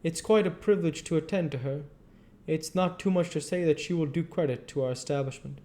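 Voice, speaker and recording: A man voicing a woman who lays out the dead, speaking in a high, gushing, confiding way. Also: fairly quick, dark tone, natural voice.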